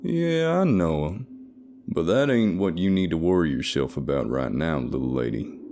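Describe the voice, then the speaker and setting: A man's voice: low-pitched, sing-song, moderate pace, dark tone, slight background noise. A man voicing a malicious, cocky male monster with a country accent and a drawling, sneering manner. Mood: menacing, smug.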